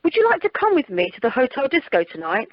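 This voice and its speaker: A woman's voice: high-pitched, huge pitch swings, fast talker, no room sound, muffled recording, full character voice. A woman playing a privileged, dry-witted teenage boy, speaking wryly in an amateur radio comedy recorded by telephone. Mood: casual, curious.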